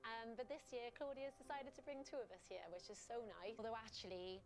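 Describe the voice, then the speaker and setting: A woman's voice: fairly high pitch, fairly even intonation, a little fast. A woman from London speaking with a put-on Welsh accent, talking as a reality show contestant in a chatty, confiding way. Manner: confiding, chatty, light filler ums.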